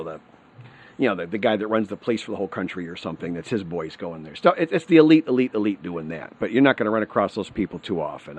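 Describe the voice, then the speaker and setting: A middle-aged man from Michigan talking unscripted to camera, rambling and restarting his words often. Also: on the low side. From Michigan, USA. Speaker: vlogger on retiring abroad.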